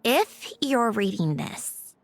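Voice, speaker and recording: Haughty, high-voiced prickly young woman. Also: big pitch swings, slow pace, soft background music, exaggerated voice. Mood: hesitant. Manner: haughty, curt, defensive. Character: proud, prickly young woman, easily flustered genius. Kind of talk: animated video game voice acting.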